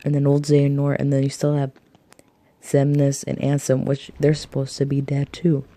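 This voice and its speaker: A woman with a raspy voice talking through game theories in a rambling, hesitant monologue, thinking aloud and jumping between topics. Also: very deep voice, completely flat delivery, moderate pace, mellow. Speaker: video game fan vlogger.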